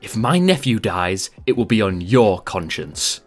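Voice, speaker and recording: A man voicing a manipulative young female coworker in a read-aloud story, speaking slowly and coldly. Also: fairly low pitch, big pitch swings, faint music behind, voice pushed down. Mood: cold, threatening.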